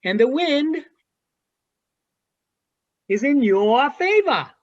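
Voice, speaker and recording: A dull, lo-fi recording of a woman playing a worldly seagull in a stage comedy. The bird teases in slow, drawn-out phrases, with pitch that swings widely. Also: very animated, full character voice. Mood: encouraging. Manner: teasing, drawn-out delivery. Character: teasing, worldly adult seagull perched high above the city. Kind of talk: amateur virtual one-act play acting. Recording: dull lo-fi video call audio.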